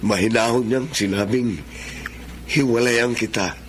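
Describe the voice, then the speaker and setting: A man's voice: mid-range voice, fairly even intonation, unhurried, lightly acted. A man playing a guilt-ridden lover in a secret affair, speaking earnestly and hesitantly in a Tagalog radio drama. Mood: guilty, troubled. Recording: faint background noise.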